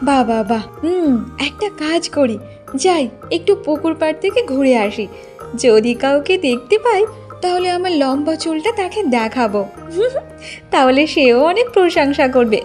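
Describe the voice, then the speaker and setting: A haughty young wife from a cartoon folktale, acted by a woman. Her voice is high-pitched and she talks in a boastful, scolding way, with faint music behind her. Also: animated, moderate pace, darkish tone. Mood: self-admiring. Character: vain, haughty young village wife obsessed with her hair. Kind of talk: Bengali cartoon folktale acting.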